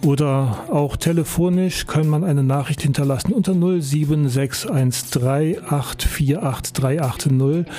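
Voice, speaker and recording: Mellow-voiced male radio host. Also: medium pitch. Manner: relaxed, chatty, filler-laden announcing. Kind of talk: community radio show presenting. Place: radio studio.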